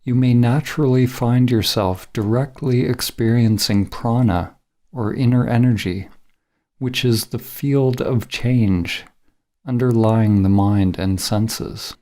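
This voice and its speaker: A man narrating a scripted guided meditation in a measured, solemn, instructional manner as the guide. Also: medium pitch, warm tone.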